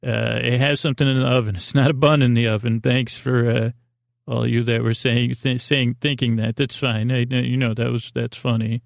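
A man hosting a bedtime podcast in a creaky voice, meandering gently and reassuringly through digressions and often trailing off mid-thought. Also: medium pitch, little pitch movement, normal speed, no echo, clean studio sound. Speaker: podcast host. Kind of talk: rambling bedtime sleep podcast monologue.

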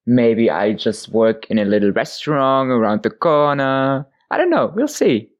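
A man's voice: mid-range voice, big pitch swings, a little slow, highs cut off. A young man from Germany, chatting as an interview guest in a sleepy voice, laughing often and restarting his words. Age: young adult. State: sleepy, tired voice. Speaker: guest singer. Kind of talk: casual interview chat.

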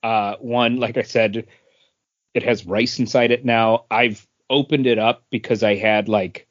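A man in his thirties chatting casually as a podcast co-host, rambling through his story with plenty of "like" and "uh". His voice sits at a middle pitch, and the recording sounds a bit dull and lo-fi. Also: steady tone, unhurried. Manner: rambling, filler-heavy storytelling. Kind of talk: casual chat.

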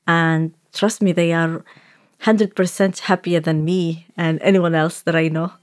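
A young woman filmmaker whose first language is Arabic, telling her own story in a reflective way, often pausing on "like" and restarting. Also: on the low side, fairly even intonation. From Saudi Arabia. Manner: reflective, with frequent "like" fillers and restarts. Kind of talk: personal storytelling. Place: radio studio.

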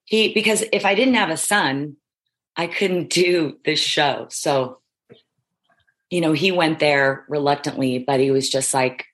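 A middle-aged woman with a notably deep, husky voice talks through an interview in long, looping sentences. She is emphatic and self-affirming, stacking one thought onto the next. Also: unhurried, a bit bright. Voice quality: low, husky voice. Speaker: reality show contestant guest. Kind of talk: casual interview chat.

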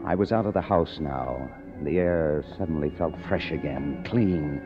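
A man's voice: rumbling low, normal speed, over music. An actor playing a cynical, sardonic younger man, a struggling writer, in 1950s radio drama style. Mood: wry narration. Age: young adult. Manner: sardonic, hard-boiled. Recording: muffled old radio recording, hiss, echoey hall.

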